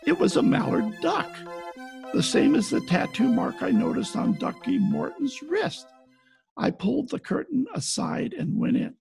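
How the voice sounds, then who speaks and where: An actor playing a blunt, wry private detective in an old-time radio play, with clipped noir delivery. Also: male voice, very high-pitched, sing-song, unhurried, vocal fry, darkish tone, faint music behind, heavily acted. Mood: wry narrating. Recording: home video-call recording.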